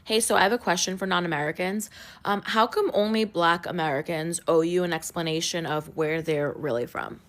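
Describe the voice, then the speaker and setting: A woman with a fairly low voice talks straight to her viewers. She piles up pointed rhetorical questions, repeating the same shape each time. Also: fairly even intonation, a little fast, a bit bright. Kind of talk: casual social media video.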